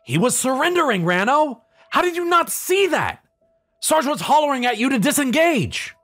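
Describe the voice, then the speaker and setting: A man acting an upset, commanding police officer in an audio drama, forceful and emphatic. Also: very high voice, sing-song, moderate pace, full character voice. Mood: shocked, angry.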